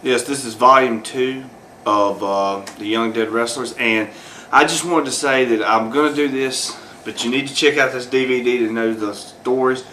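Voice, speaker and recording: A man's voice: mid-range voice, fairly even intonation, normal speed, bright, forceful tone, slight background noise. A man talking off the cuff as host of his own video, rambling with many fillers and restarts. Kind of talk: unscripted solo commentary.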